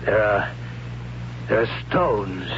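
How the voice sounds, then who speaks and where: An actor playing an awed, reluctant man of a primitive future, speaking haltingly and solemnly in 1970s radio drama style. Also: fairly low pitch, lively intonation. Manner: halting, solemn, hushed dread. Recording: dull, noisy 1970s radio recording.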